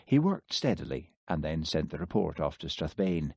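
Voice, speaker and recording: A man reading a novel aloud as an audiobook narrator, lifting his pitch for the other characters' lines. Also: sing-song, moderate pace, creaky, darkish tone, husky, no room sound, studio quality. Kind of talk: scripted narration.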